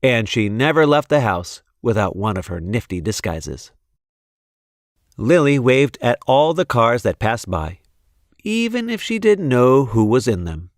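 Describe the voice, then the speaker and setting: A man reading a children's storybook expressively, with deliberate, measured phrasing. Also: mid-range voice, sing-song, no echo, studio quality. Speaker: storybook reader.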